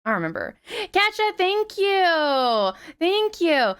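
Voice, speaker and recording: Chatty streamer woman, slightly raspy. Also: very high-pitched, slow pace. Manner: chatty, swears freely, animated greetings. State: slightly raspy after flu. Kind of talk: casual stream chat greeting viewers. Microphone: headset.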